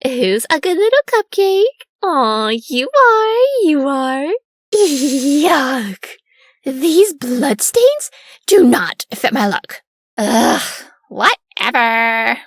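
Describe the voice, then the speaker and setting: High, bratty party-girl robot diva. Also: very animated, drawn-out words, dry recording, clean studio sound, heavily acted. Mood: cooing, disgusted. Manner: valley-girl, bratty, baby-talking. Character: vain, cutesy party-girl animatronic diva, bratty and cruel. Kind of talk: video game character voice lines.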